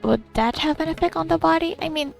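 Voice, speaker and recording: A woman's voice: extremely high pitch, quick pace, gravelly. A young woman giving casual, chatty gameplay commentary, thinking aloud in short fragments. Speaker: game streamer. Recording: game music behind the voice.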